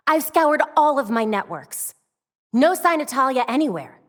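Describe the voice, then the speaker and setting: A woman with an American accent playing a young, brainy, determined vigilante superhero in a video game, crisp, earnest and dryly quippy. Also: high voice, sing-song, a little slow, no echo, clean studio sound. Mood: focused, businesslike. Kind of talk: video game voice acting, short dialogue lines.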